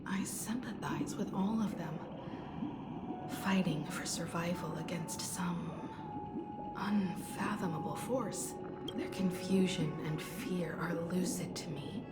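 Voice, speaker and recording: A woman playing a gentle, reflective young companion in a video game, thoughtful, soft-spoken and deliberate. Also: on the low side. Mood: wistful. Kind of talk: dramatic video game dialogue. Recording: echoing voice over loud music.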